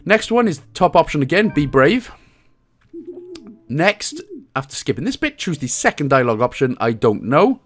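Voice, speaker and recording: A man's voice: on the high side, very animated, normal speed. A man with a Welsh accent giving a casual game walkthrough with cheeky, jokey asides. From Wales. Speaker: video game guide host.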